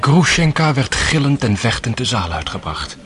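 An actor playing a reflective narrator who recounts events in a measured way in a radio drama. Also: male voice, medium pitch, big pitch swings, a bit bright. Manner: measured, storytelling.

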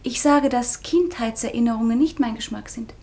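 An actress playing a cool, aloof young woman in a radio play, cold and measured. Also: fairly high pitch, a little mellow, close to an everyday voice. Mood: disdainful. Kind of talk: German radio play acting.

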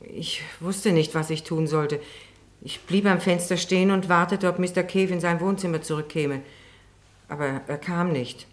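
Low-voiced, timid woman witness, played by an actress. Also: steady tone, moderate pace, close to an everyday voice. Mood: flustered, defensive. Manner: hesitant, deferential. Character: timid, anxious woman witness testifying in court. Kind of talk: German radio courtroom drama acting.

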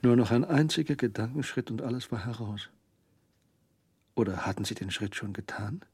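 An actor narrating a radio crime drama in a cool, sly, scripted manner. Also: male voice, mid-range voice, warm tone, breathy edge. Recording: studio radio play with music.

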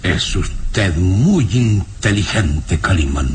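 A man playing a proud, sinister nobleman and father in a radio serial, speaking in a haughty, menacing, deliberate way. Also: low-pitched, lively intonation, slight background noise. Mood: cold. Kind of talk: Spanish-language radio serial acting.

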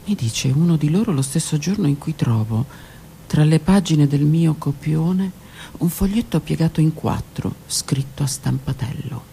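A woman narrating her own theatre monologue in a hushed, lingering, measured way. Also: deep voice, animated, creaky, slight background noise. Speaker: author and performer.

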